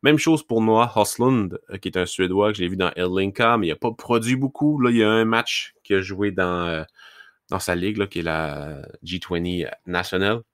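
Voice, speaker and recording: Low-voiced Québécois man, rambling podcast. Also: moderate pace, no echo, studio quality. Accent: Québécois French, Lac-Saint-Jean. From Quebec, Lac-Saint-Jean. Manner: rambling, many fillers and restarts, laughs at himself. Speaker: podcast host, hockey scout. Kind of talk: casual solo podcast talk.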